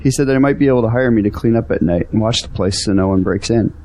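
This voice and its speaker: An actor playing a humble, thankful young grown son in an audio drama, earnest and polite. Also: male voice, lowish voice, fast, dark tone, close to an everyday voice.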